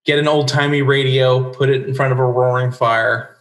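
A man's voice: mid-range voice, fairly even intonation, normal speed, fairly bright tone. A middle-aged man chatting casually as a guest in an interview. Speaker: guest writer. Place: book-lined room at home, indoors. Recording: roomy, echoey video call.

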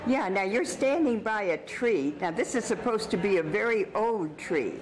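A woman's voice: mid-range voice, animated, normal speed, a crowd in the background. A woman hosting a TV show, interviewing guests at an event in an enthusiastic, chatty way. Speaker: TV host, interviewer. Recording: noisy outdoor and party ambience.

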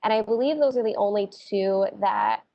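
A woman's voice: medium pitch, normal speed. A woman moderating a virtual town hall from a home office, reading out student questions and explaining steadily. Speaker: dean and moderator. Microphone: video call on a laptop. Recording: dull, muffled video call.

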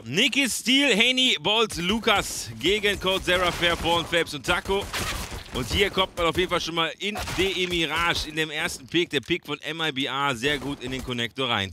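A man's voice: fairly high pitch, noisy background. A man casting a game alone as play-by-play commentator, chatty and addressing viewers as friends. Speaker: esports caster. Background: game gunfire and clicks.

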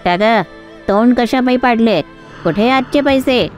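A woman's voice: a little high, measured pace, faint music behind, natural voice. A woman voicing a cruel, greedy older mother-in-law who scolds and accuses, in a cartoon story. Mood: demanding. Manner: scolding, suspicious.

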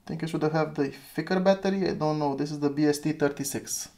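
A chatty man talks through a hands-on gadget review, thinking aloud and chuckling at his own slip-ups. His voice sits a little higher than most men's. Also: fast, dark tone. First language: Romanian. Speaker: retro phone reviewer.

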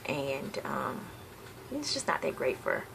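A woman's voice: extremely low pitch, big pitch swings, slow pace. A young woman from the South, chatting casually on a home vlog, rambling with lots of ums and self-corrections. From Southern United States. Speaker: hair vlogger. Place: bedroom, seated on a patterned couch, indoors. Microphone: webcam at desk distance. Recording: gravelly voice with clear background hiss.

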